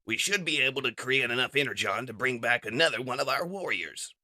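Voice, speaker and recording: A man voicing an earnest, practical robot scientist in a comic dub, explaining in a measured way. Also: medium pitch, lively intonation, pushed and bright, dry recording, pitched above the performer's own voice. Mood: earnest, resolved.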